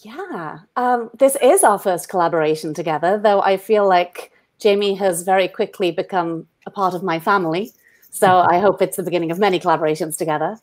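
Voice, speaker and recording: A Welsh woman in her forties, chatting on a video call from home with earbuds, self-deprecating and quick to laugh. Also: lowish voice. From Wales. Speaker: guest playwright. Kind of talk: casual video-call chat. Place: home room.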